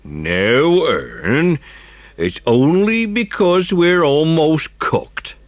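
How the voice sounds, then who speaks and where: A man's voice: fairly high pitch, sing-song, drawn-out words, no echo, pitched above the performer's own voice, exaggerated voice. A man voicing a pompous, bullying village policeman in an audiobook story, blustering and overheated. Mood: forced politeness. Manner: blustering, shouting, grumbling.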